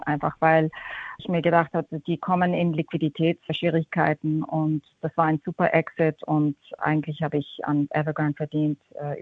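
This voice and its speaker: A woman's voice: sits low, no echo, phone-quality sound. A woman with a slight English accent, a guest fund manager answering interview questions chattily, with many restarts and English terms. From Austria and Japan.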